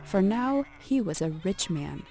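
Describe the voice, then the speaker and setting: A woman narrating a folk tale as a storyteller, in a measured and expressive storybook delivery. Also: mid-range voice, faint music behind.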